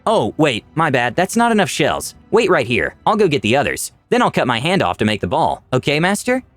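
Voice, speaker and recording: A man's voice: a little high, huge pitch swings, a little fast, a bit bright, no room sound, soft background music, pitched above the performer's own voice. A man voicing an adventurer who placates a villain, over-polite and ingratiating, in a fantasy story. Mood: anxious placating.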